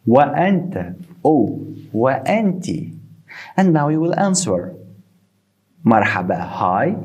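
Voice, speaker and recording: Slow, animated man teaching. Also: medium pitch. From Tunisia. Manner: slow and deliberate, repeating words for the learner; non-native English phrasing. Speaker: Arabic teacher. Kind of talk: beginner language lesson.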